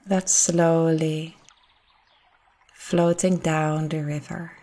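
Slow, low-pitched woman guiding meditation. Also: little pitch movement. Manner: gentle, soothing, unhurried with long pauses. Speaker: meditation guide. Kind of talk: guided meditation narration.

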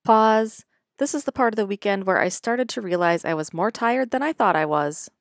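A woman's voice: mid-range voice, normal speed, noisy background. A middle-aged woman reading a matter-of-fact voice-over about her weekend. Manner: even, matter-of-fact storytelling. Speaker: vlogger narrating her weekend. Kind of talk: scripted voice-over narration.

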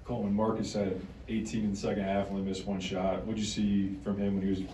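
A man's voice: lowish voice, completely flat delivery, quick pace, mellow, distant mic. A man asking questions as a reporter at a press conference. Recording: echoey room, off-mic, faint noise.

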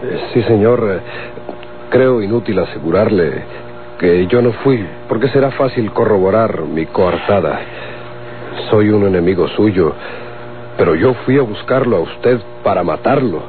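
Low-voiced, placating Mexican lawyer. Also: male voice, animated, a little slow. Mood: anxious, formal. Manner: formal, hesitant, placating. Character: cornered, deferential male lawyer, wary enemy of an outlaw. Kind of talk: Mexican golden-age radionovela acting. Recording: tinny old radio, faint music.

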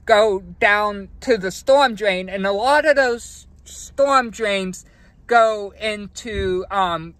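A man hosts his own channel with a casual, unhurried explainer, stopping often for ums and restarting his sentences. His voice sits high and bright. The recording is dry and close. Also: dry recording. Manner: hesitant, many ums and restarts. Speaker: car wash channel host, car wash worker.

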